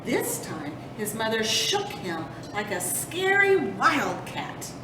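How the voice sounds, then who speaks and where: A woman reads a children's storybook aloud at an unhurried pace. Her pitch swings widely as she leans dramatically on action words. There is a rumbling noise behind her. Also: very animated. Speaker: storytime host reading a picture book. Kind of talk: expressive children's storybook narration. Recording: roomy, distant mic with rumbling noise.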